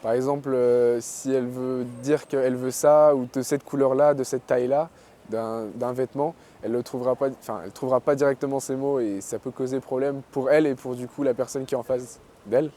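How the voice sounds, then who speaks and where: Mellow, fluent young-sounding man. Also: medium pitch, fairly even intonation, brisk, a little hiss or hum. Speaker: interviewee. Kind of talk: documentary interview.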